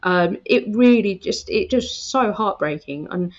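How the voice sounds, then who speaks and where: A woman's voice: mid-range voice, expressive, unhurried. Thirties woman podcast host, headset, empathetic, hesitant fillers, slightly muffled sound. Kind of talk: casual interview chat. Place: home room with bookshelves.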